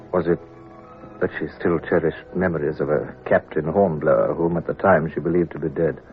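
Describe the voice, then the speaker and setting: Brief: man as introspective British sea captain, very deep voice, tinny old radio sound. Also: lightly acted. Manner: introspective, measured and earnest. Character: reflective middle-aged male naval captain. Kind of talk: 1950s radio drama first-person narration.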